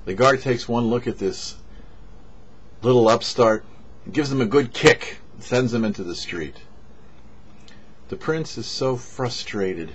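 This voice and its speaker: A man, a rabbi, giving a short sermon with parables in a measured storyteller's delivery, pausing between phrases. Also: mid-range voice, expressive, slight background noise. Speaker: rabbi, host of a weekly Torah portion show. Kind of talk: sermon with storytelling, read for a show. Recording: dull, lo-fi audio.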